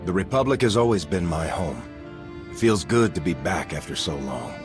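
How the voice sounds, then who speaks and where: An actor playing a steadfast veteran military commander in a video game, speaking in an earnest, measured way. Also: male voice, low-pitched, animated, music in the background. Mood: resolute. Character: steadfast gruff male military commander, veteran war hero. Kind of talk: video game cutscene acting.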